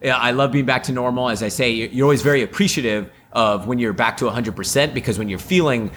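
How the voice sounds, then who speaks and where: A man's voice: medium pitch, fast talker, a bit bright, a little hiss or hum. A man co-hosting a podcast in casual chat, upbeat and rambling with lots of fillers, his voice still a little off after a sore throat. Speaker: podcast co-host.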